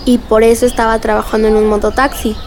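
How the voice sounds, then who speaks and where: A woman's voice: a little high, level tone, fast talker, lightly acted. An actress playing a curious, sympathetic woman asking questions in a radio drama. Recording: faint outdoor noise with birdsong.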